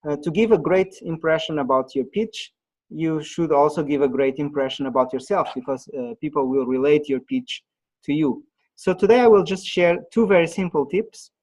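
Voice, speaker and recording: Guy running an online pitching workshop, bit high voice, lots of uh and um, video call. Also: a little mellow, rough recording. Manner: halting, many fillers and restarts. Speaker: webinar presenter.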